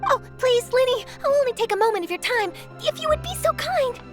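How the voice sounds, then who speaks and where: A woman's voice: very high-pitched, expressive, brisk, music in the background. A woman voicing an eager, nosy and persistent young female news reporter in a video game, perky and theatrical. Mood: pleading, eager.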